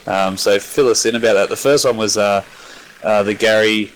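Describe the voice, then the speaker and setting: A man's voice: on the low side, steady tone, moderate pace, slight background noise, rough recording. An Australian man co-hosting an online racing broadcast, chatting casually with lots of fillers on a headset mic. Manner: chatty, rambling, filler-heavy. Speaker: co-host.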